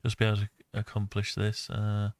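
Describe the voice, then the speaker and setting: A man's voice: fairly low pitch, monotone, brisk, dry recording. A man giving a casual think-aloud tutorial, muttering to himself as he talks through each step. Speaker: host of a CAD design tutorial.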